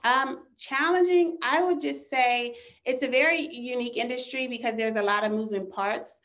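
A woman's voice: on the high side, moderate pace, fairly bright tone. Woman guest from Maryland, upbeat with lots of ums, dull remote audio. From Eastern Shore of Maryland. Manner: upbeat, many "um" fillers. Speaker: guest, business owner. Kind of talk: casual interview. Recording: slightly dull, lo-fi line.

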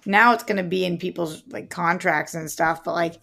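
A woman's voice: lowish voice, steady tone, moderate pace, gravelly, a bit bright. A woman co-hosting a podcast in casual chat, with wry joking asides. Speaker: podcast co-host.

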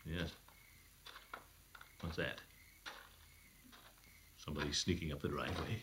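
A man's voice: rumbling low, steady tone, unhurried, background noise. An actor playing a pompous, blustering middle-aged bachelor uncle in a 1940s radio comedy, chuckling to himself as he talks. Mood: muttering. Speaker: comic lead in a radio sitcom.

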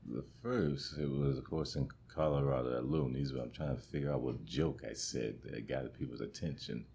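A young man with a booming, extremely deep voice answers questions on a casual podcast. He pauses often and hunts for words with plenty of ums and uhs. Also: fairly even intonation, vocal fry, warm tone, breathy edge. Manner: hesitant, searching for words with many fillers. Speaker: comedian guest.

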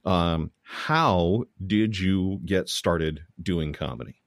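An American man hosting a casual comedy interview, feeling his way haltingly through rambling questions. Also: low voice, dry recording, clean studio sound. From United States. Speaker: show host, interviewer.

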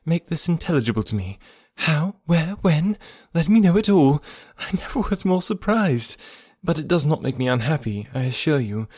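A genteel young woman of a period novel, played by a male audiobook reader, lifted high in pitch. She is lively and eager, disbelieving and emphatic in her phrasing. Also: moderate pace, hardly any pauses, breathy edge, no room sound, clean studio sound. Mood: amazed, eager. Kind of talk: audiobook character dialogue.

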